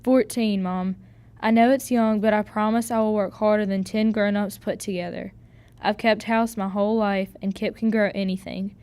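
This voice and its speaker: A woman's voice: medium pitch, steady tone, moderate pace, a little mellow, clear, resonant tone, natural voice. A woman playing a plucky fourteen-year-old Irish servant girl in a radio drama, earnest, deferential and folksy. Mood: earnest pleading.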